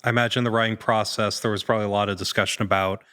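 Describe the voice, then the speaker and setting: Bright, low-pitched man, casual and hesitant. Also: fairly even intonation, very fast, clear, resonant tone. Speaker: actor, podcast guest. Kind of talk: casual interview chat.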